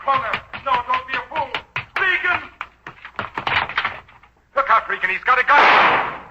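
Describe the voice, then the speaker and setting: A man's voice: very high-pitched, very animated, a little slow, heavily acted. An actor playing a formal, anxious businessman and employer in a 1940s radio drama. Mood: panicked. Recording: dull, faintly noisy 1940s radio recording.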